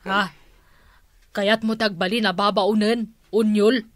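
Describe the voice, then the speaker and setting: A woman's voice: medium pitch, fairly even intonation, takes it slowly, dry recording, clean studio sound, close to an everyday voice. A woman playing a bossy older household matriarch in a comedy radio drama, speaking with exclamatory authority. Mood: surprised.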